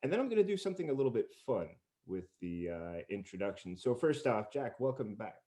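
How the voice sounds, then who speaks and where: An effusive man hosts a podcast in an average-to-low male register. He talks in long, wordy runs, moving between a prepared introduction and casual interview chat. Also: sing-song, brisk, dark tone. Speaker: podcast host. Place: remote group call recording.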